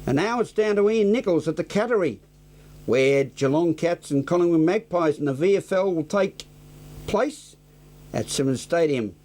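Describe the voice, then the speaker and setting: Aussie guy reading the local news, highish voice, dark mellow tone, scripted. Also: expressive, normal speed. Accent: Australian. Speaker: news anchor.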